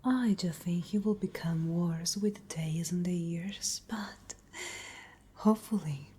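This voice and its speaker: A woman playing a cruel, haughty vampire noblewoman in a whispered ASMR roleplay, breathy, deliberate and taunting. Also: fairly low pitch, warm tone, exaggerated voice. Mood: haughty, contemptuous. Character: cruel, menacing noble lady vampire of a castle.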